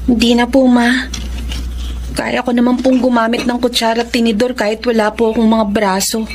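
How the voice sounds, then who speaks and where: A woman's voice: fairly high pitch, little pitch movement, moderate pace, darkish tone, slight background noise, natural voice. A woman acting a cheerful, resilient young Filipino girl in a radio drama, sweet and playful. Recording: faint background ambience.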